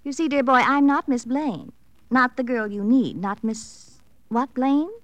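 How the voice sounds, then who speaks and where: A woman's voice: high-pitched, measured pace, dry recording. An actress playing an affected, playfully coy young society woman, breezy and gushing, in 1950s radio drama style. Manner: breezy, theatrical, arch, gushing.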